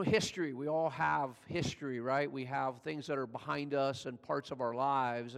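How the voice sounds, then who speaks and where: An older man from California, a pastor giving a conversational sermon that builds in energy as he retells the story. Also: big-room sound. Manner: conversational preaching, builds in pitch and volume as he tells the story.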